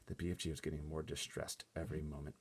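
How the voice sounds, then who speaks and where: A man reading a children's story aloud as an expressive narrator. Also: very deep voice, quick pace, slightly rough. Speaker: storybook reader.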